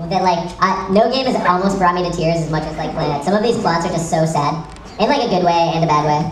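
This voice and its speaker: A woman presents at a panel in a chatty, enthusiastic way, racing along in a slightly low voice. She jokes at her own expense, and she sounds far from the mic in an echoey room with a crowd. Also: fast talker. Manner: enthusiastic, self-deprecating, jokey geek talk. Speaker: convention panel presenter and site creator. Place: convention panel room with audience. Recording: echoey room, distant mic, audience noise. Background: audience crowd.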